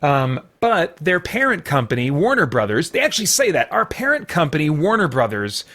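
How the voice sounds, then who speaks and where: A man giving an unscripted movie review as a host, speaking emphatically in a rambling, halting way with repeated false starts. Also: a little high, wildly swooping pitch, bright, forceful tone. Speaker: podcast host and film reviewer.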